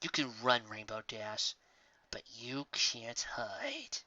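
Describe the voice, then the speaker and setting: A hot-tempered storybook pegasus mare, as a narrator puts her on. She sounds righteous, exasperated and forceful, with a bright, tense edge. The recording is dry and lo-fi. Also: mid-range voice, big pitch swings, slow pace, no echo, pitched below the performer's own voice, heavily acted. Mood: grim, menacing. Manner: forceful, exasperated, growling threats.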